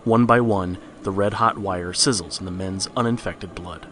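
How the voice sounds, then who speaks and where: A man's voice: sits low, moderate pace, noisy background. A man narrating a scripted horror story in the style of a read-along storybook record.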